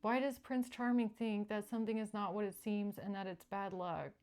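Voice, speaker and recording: A woman with a medium-pitched voice gives a tarot reading as the host. She speaks spontaneously and directly to her viewers, leaving pauses and repeating short phrases to stress them. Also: steady tone, darkish tone, clean tone. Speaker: tarot reader and channeller, show host.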